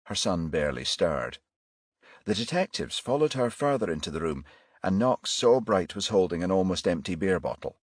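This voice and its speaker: A man's voice: low voice, sing-song, moderate pace, slightly rough, no room sound, clean studio sound. A man reading scripted crime fiction narration as an audiobook narrator.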